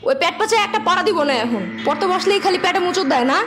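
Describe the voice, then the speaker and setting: A woman playing a bossy cartoon older sister with a high voice. She talks quickly and colloquially, scolding with exasperated sarcasm. Music runs behind her. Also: lively intonation. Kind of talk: comedic cartoon dialogue.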